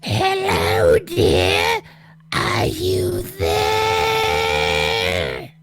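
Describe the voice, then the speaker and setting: A man as a creepy strangled neighbour lady, agonisingly slow. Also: huge pitch swings, vocal fry, shouting, full character voice. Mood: eerie coaxing. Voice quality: high-pitched, scratchy, strangled. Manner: slow, drawn-out, eerily sweet delivery. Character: creepy possessed elderly neighbour woman, sinister and coaxing. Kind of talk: horror radio drama acting.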